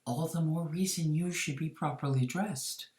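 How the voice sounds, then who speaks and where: Young priestess, secretly divine, from a short story, acted by the narrator, formal, quietly insistent, roomy sound. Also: mid-range voice, moderate pace, natural voice. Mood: poised, insistent. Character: devout, cunning young priestess, secretly a goddess.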